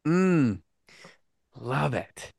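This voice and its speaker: A man's voice: medium pitch, sing-song, extremely slow, gravelly, darkish tone, dry recording, clean studio sound. A man hosting a podcast interview, earnest and chatty, with frequent restarts and "mm" sounds. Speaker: podcast host. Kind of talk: casual interview chat.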